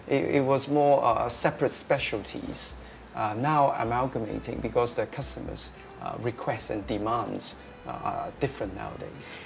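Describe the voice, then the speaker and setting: A young man, answering interview questions as a restaurateur, pausing often with filler sounds. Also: mid-range voice, vocal fry, darkish tone, slight background noise. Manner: hesitant, many filler sounds.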